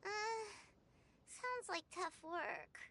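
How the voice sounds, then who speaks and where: A woman's voice: very high voice, animated, drawn-out words, pushed and bright, a little hiss or hum, full character voice. A woman voicing a small, childlike, naive and curious companion sprite in a video game, cute and questioning. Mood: puzzled, reluctant. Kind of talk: scripted video game voice acting.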